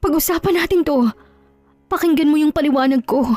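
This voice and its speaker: A woman's voice: high voice, fairly quick, darkish tone, dry recording, pitched above the performer's own voice, lightly acted. A woman playing a desperate young wife wrongly accused of cheating, pleading urgently in a melodramatic audio drama. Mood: desperate begging.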